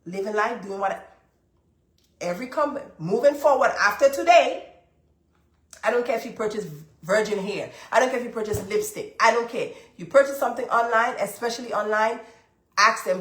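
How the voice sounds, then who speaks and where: A woman's voice: medium pitch, fairly bright tone. A congested woman hosting a live session who gives motivational money advice. She speaks emphatically, repeats her key points and talks straight to her viewers. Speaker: livestream host. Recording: roomy, echoey room sound.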